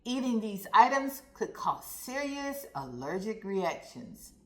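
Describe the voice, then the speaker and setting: Slow, expressive woman storyteller in a roomy space. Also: medium pitch. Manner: expressive, deliberate, addresses young listeners directly. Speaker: storyteller reading aloud to children. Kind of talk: read-aloud storytime narration. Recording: roomy, echoey sound.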